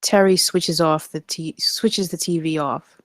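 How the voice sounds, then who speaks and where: A woman with a low voice reads out stage directions for a comedy table read. Her scripted narration is recorded dry, without room sound. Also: fairly even intonation, a little slow, no room sound.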